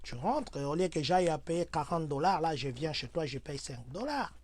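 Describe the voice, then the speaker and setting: A man talks through everyday advice for his community. He is earnest and preachy, and his voice jumps to loud exclamations when he makes a point. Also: fairly high pitch, expressive, moderate pace, a little hiss or hum. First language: Swahili. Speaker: community advisor.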